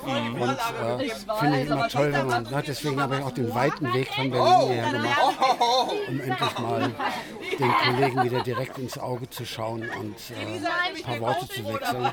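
Male, raspy, lively swooping intonation, casual interview delivery, very noisy crowd behind. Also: mid-range voice, moderate pace. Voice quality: rough, raspy. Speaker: interviewed voice actor. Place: busy audio drama convention. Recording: very loud convention crowd behind voice.

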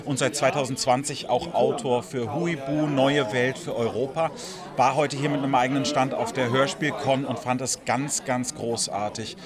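A man giving an enthusiastic statement as an interviewee. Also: mid-range voice. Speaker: audio drama writer and producer, interviewee. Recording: crowd chatter, busy event.

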